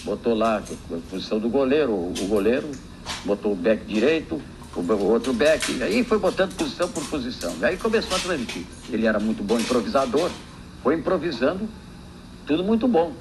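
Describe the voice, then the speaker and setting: A man's voice: mid-range voice, a little fast. An older Brazilian man, a veteran broadcaster, informally telling an anecdote from memory in a noisy archive recording. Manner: animated anecdotal telling, acting out quotes. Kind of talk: informal spoken reminiscence.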